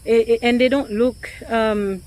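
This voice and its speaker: A woman realtor with an accent, talking casually and thoughtfully to the camera, pausing often with ums and restarts. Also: on the high side, steady tone, darkish tone, poor audio.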